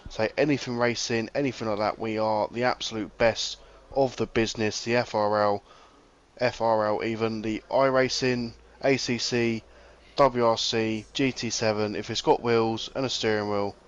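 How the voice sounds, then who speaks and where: A man's voice: lowish voice, steady tone, normal speed, clean tone, dull audio. A man co-commentating a sim race in an informal, chummy and colloquial way.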